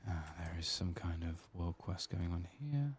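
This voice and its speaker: A man giving soft-spoken ASMR commentary as he plays a game, quiet and relaxed, murmuring to himself. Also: low-pitched, a little slow, slight background noise. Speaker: gaming ASMR host.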